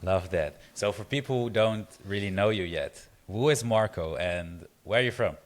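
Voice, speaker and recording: A man's voice: fairly low pitch, normal speed. A man hosting a podcast, upbeat and friendly, reading a polished intro and asking questions. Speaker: podcast host.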